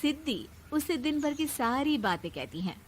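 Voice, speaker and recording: A woman's voice: sits high, sing-song, moderate pace, slight background noise. A woman narrating a scripted cartoon story as its storyteller.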